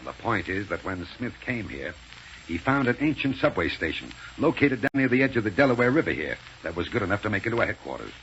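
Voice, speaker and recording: Bossy 1930s radio serial villain. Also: male voice, on the low side, big pitch swings, fast, fairly bright tone. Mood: brisk, explanatory. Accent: American. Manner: bossy, curt, impatient. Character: commanding male villain gang leader, impatient and scheming. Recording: muffled, noisy 1930s radio recording.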